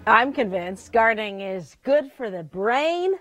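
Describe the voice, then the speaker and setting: A woman's voice: a little high, very animated, measured pace, fairly bright tone, no room sound. A woman anchoring a newscast from the studio, friendly and easygoing as she chats with the field reporter. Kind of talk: live newscast banter.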